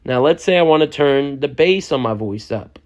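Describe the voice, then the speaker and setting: A young man, a music producer, giving a loose, chatty step-by-step software lesson and often checking in with the listener. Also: medium pitch, expressive, moderate pace. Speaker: music producer teaching a tutorial. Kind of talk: casual step-by-step software tutorial.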